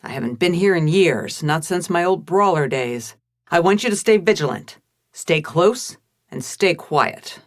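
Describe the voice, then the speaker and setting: A woman's voice: fairly low pitch, expressive, unhurried. A woman playing a tough, seasoned police commissioner in a noir audio drama, terse, world-weary and commanding. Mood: stern, commanding. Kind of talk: noir scripted narration and drama.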